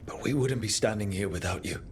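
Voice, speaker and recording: Low-voiced, calm hero mage. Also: male voice, a little fast, vocal fry, a little hiss or hum. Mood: caring, calm. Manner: calm, reassuring, plain-spoken. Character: steady, supportive young male mage leader of heroes. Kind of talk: video game character acting.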